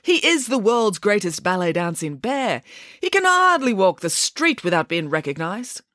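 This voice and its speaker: A woman's voice: medium pitch, sing-song, moderate pace, a bit bright, dry recording, clean studio sound, pitched above the performer's own voice. A woman voicing a bossy, proud, theatrical female pig nanny in a children's story, with an imperious, emphatic manner.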